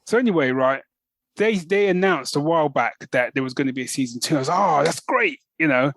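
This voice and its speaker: A man hosting a podcast, chatting and ranting casually in an animated, storytelling way. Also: on the high side, a little fast, no room sound. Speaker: podcast host.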